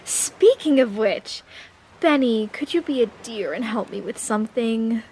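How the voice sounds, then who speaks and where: A woman's voice: fairly high pitch, lively intonation, unhurried, darkish tone, slightly rough, exaggerated voice, poor audio. A woman playing a vain, snobbish young news reporter with a haughty, cutting manner in an audio drama. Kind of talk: audio drama character acting.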